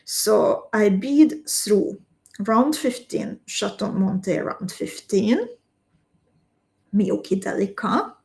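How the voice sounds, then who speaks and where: A woman talks viewers through each beading step, slowly and carefully. Her voice sits in a middle register, and she takes her time over every instruction. Between steps she chats easily with her live audience. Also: medium pitch, expressive, vocal fry, a little mellow. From Slovakia. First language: Hungarian. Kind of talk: live-stream craft tutorial.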